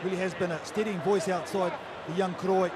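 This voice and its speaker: A man with a high voice gives expert analysis of a rugby sevens match. He talks through tactics in a chatty way and turns to his partner as he speaks. Loud stadium noise runs under everything he says. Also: steady tone, fairly quick. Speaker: expert co-commentator.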